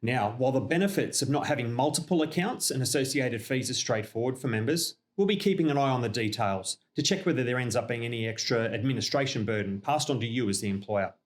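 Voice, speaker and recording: An Australian man with a mid-range voice presents a scripted corporate update. He speaks in a measured, businesslike way and explains each point as a company representative would to clients. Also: mid-range voice, lively intonation. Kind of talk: scripted informational narration.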